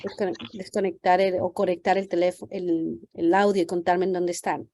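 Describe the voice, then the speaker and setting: A woman's voice: lowish voice, little pitch movement, moderate pace, background noise. A woman physician welcoming parents on a video call, speaking hesitantly with many fillers. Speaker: pediatric geneticist giving a talk.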